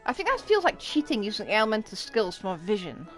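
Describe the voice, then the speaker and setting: A woman's voice: medium pitch, big pitch swings, quick pace. A woman live streaming a game with casual, chatty commentary while game music plays behind her. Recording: voice over faint game music.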